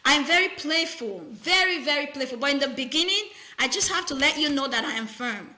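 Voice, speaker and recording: A middle-aged woman from Nigeria, a nurse practitioner, talking in an interview in a firm, animated way, telling stories about her patients. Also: high voice, brisk. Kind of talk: conversational interview.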